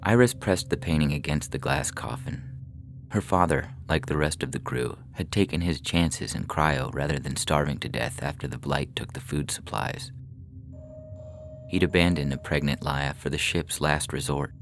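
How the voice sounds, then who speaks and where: Very deep-voiced man narrating a story. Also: normal speed. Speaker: narrator reading short fiction. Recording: music behind the voice.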